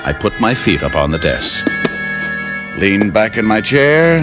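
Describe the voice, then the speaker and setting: Deep-voiced, wry radio private detective. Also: male voice, sing-song, a little slow. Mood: drowsy, content. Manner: dry, laid-back first-person storytelling. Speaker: actor in the lead role and narrator. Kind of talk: 1940s-50s radio detective drama acting. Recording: old radio recording with music behind.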